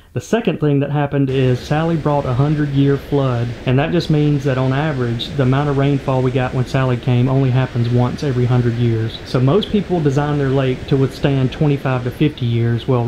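A man's voice: mid-range voice, mellow, lo-fi sound. A man hosting a vlog, narrating in a casual, folksy, informal way. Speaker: vlog host. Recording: noticeable background noise, outdoor ambience.

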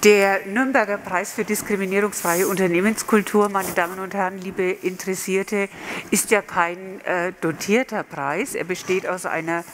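A woman's voice: mid-range voice, steady tone, moderate pace. Woman presenter, formal ceremony speech, hesitant, faint background noise. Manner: hesitant with fillers. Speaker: award presenter. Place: wood-panelled hall, indoors.

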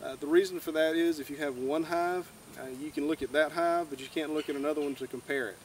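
A man's voice: fairly high pitch, fairly even intonation, fast. A young man giving an informal, plain-spoken, folksy instructional talk to camera outdoors. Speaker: beekeeper giving a tutorial. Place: outdoors in a grassy clearing by woods. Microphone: far camera. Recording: distant camera mic, outdoor background noise.

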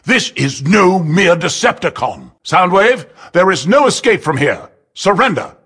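A precise robot inventor in a video game. The voice is husky and fairly high, with formal, fussy phrasing and lively swings in pitch. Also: male voice, a little slow, exaggerated voice. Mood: precise, commanding. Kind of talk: video game mission dialogue.

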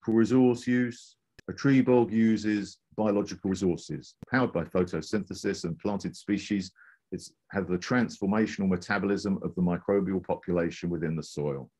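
A man's voice: low voice, normal speed, dark tone. A man narrating a scripted slide presentation as a presenter.

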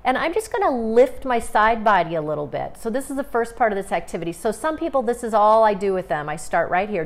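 A woman in her forties, a movement therapist, calmly talking a viewer through breathing and core exercises in her home studio. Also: on the high side. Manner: calm, instructive, guiding breath cues. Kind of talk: exercise demonstration.

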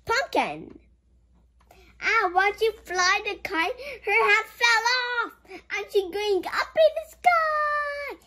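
A childlike reader going through a picture book haltingly, with playful exclamations and giggly commentary. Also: very high voice, animated, bright, forceful tone. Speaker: children's show host.

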